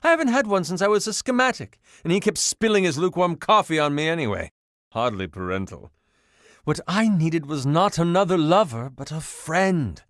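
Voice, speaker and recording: An actor playing a flamboyant, excitable washing machine in a video game, grandiose, romantic and melodramatic in delivery. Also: male voice, fairly high pitch, normal speed, no room sound, studio quality. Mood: passionate. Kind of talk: theatrical video game voice acting.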